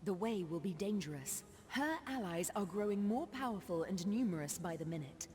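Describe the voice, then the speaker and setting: An actress playing a solemn, foreboding sorceress in a fantasy video game, speaking in grave, formal, measured phrases. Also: female voice, medium pitch, lively intonation, darkish tone, close to an everyday voice. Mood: grave, urgent. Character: solemn, foreboding sorceress grieving over her wayward sister. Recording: long reverberant hall.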